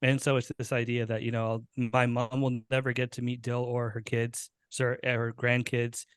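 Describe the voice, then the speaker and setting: A man's voice: mid-range voice, completely flat delivery, moderate pace, clear, resonant tone, no room sound. Young male podcast co-host and storyteller, mid-range voice, very dry sound. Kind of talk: dramatic story reading and casual discussion.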